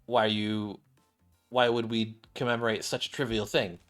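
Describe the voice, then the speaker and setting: A man voicing a gruff, irritable game character who is curt and disdainful. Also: fairly low pitch, flat delivery, normal speed, a bit bright, pitched below the performer's own voice, close to an everyday voice. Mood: irritated. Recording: music playing behind the voice.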